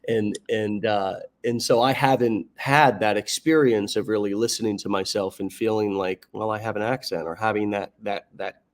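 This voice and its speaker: An American man hosting a motivational interview, talking in a reflective, halting way with frequent restarts. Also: on the low side, fairly even intonation. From United States. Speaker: podcast host.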